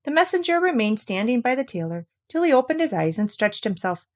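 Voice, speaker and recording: A woman's voice: fairly high pitch, very animated, fairly quick. A woman narrating a classic fairy tale, reading aloud from the text as a storyteller.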